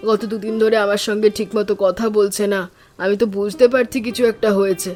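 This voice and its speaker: A woman voicing a caring, worried village aunt in an animated story, speaking tenderly and in a measured, homely way. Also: medium pitch, faint music behind, close to an everyday voice. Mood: concerned.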